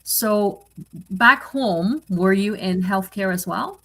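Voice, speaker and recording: A woman's voice: mid-range voice, takes it slowly, sharp tone. A woman hosting an interview, asking questions and giving frequent short replies of support. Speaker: host.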